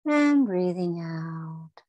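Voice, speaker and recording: A woman leading a guided breathing meditation for children, speaking in a gentle, soothing and encouraging way. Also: lowish voice, lively intonation, extremely slow, no echo, lo-fi sound.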